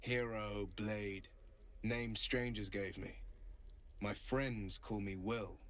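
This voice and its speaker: A gallant, modest folk hero in a fantasy game. His voice is fairly low and he speaks slowly. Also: fairly even intonation, a bit bright. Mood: modest, affable. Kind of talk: video game dialogue acting.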